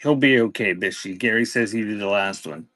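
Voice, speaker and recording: A man's voice: lowish voice, a little fast, a bit bright, no room sound, studio quality. A man hosting a live-stream auction, announcing items in short clipped phrases and chatting casually with viewers in between. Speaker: live auction seller and host.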